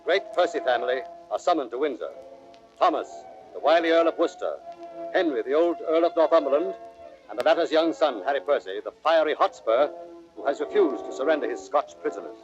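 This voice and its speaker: A man's voice: fairly high pitch, animated, brisk, over music. A man giving scripted, emphatic dramatic narration for an audio play, on an old recording. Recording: dull vintage audio recording.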